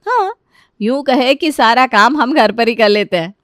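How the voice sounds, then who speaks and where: A woman voicing a dutiful, traditional elder sister-in-law who explains gently and reassuringly in a family story. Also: fairly high pitch, animated, a little slow, no echo, clean studio sound. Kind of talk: acted Hindi family story dialogue.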